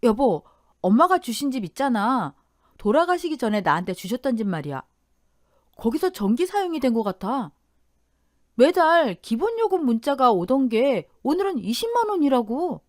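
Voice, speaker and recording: A woman's voice: sits high, very animated, normal speed, no echo, studio quality, pitched above the performer's own voice. A woman playing a chatty, earnest young married daughter in radio story dialogue. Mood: alarmed.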